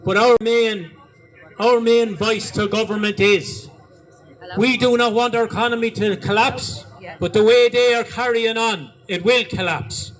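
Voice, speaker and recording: A man's voice: very high-pitched, fairly even intonation, unhurried. An Irish man giving a rousing, repetitive speech to a crowd at a street protest. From Ireland. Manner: rousing, repetitive, rising to shouts. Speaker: protest speaker. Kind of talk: public address to a crowd.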